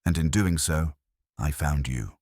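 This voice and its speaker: A man voicing a commanding male detective, formal, measured and deliberate, laying out a case in a dramatised detective audiobook. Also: extremely low pitch, darkish tone, dry recording, clean studio sound. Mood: measured, confident.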